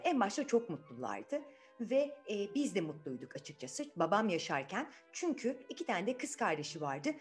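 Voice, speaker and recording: Woman as a put-upon kind young fairy-tale heroine, chatty, animated intonation, explaining to children, music behind. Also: mid-range voice, natural voice.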